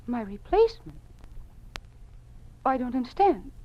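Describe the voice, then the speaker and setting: A woman's voice: high voice, big pitch swings, a little slow. An actress playing an earnest, brave young female teacher and dissenter in an old-time radio drama. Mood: anxious. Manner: earnest, impassioned. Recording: muffled old radio recording.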